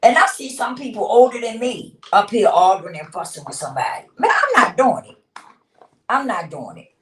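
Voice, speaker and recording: A woman's voice: mid-range voice, sing-song, normal speed, far from the mic. Blunt streetwise woman in her fifties. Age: 50s. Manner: streetwise, blunt, swears, frequent laughs. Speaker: livestream host. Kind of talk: casual livestream talk. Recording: roomy sound, speaking away from the mic.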